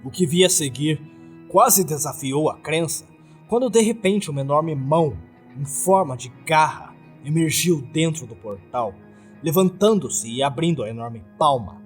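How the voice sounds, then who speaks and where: A man's voice: fairly high pitch, lively intonation, a little slow, soft background music. A man with a Brazilian accent narrating a scripted horror story.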